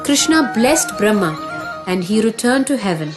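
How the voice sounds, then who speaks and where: A woman's voice: mid-range voice, sing-song, measured pace. A woman narrating a scripted children's mythology story as the storyteller. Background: music.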